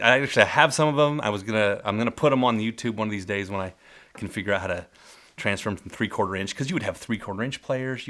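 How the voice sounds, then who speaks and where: A middle-aged man telling old work stories in a casual, rambling way, often correcting himself mid-sentence, with a loud voice. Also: fairly low pitch. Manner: rambling, self-correcting, warmly nostalgic storyteller. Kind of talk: casual spoken memoir. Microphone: close mic.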